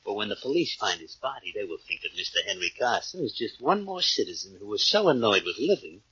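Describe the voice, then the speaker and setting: Low, forceful gang boss. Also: male voice, very animated, slight background noise. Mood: coldly polite. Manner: formal, measured, uncontracted speech. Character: smooth, menacing male gang boss, coldly polite. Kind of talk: 1940s radio crime drama acting. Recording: dull old radio recording.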